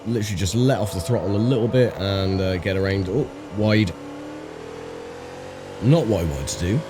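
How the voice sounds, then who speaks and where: Croaky low-voiced man. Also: moderate pace. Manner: relaxed, chatty, thinking aloud with fillers. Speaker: gaming channel host. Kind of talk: casual racing game commentary. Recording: voice over game audio with engine noise.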